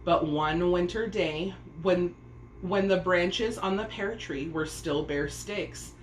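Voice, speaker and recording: A woman reading a children's story aloud as an expressive narrator. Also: lowish voice, slow pace. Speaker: storybook reader. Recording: echoey room, faint background noise.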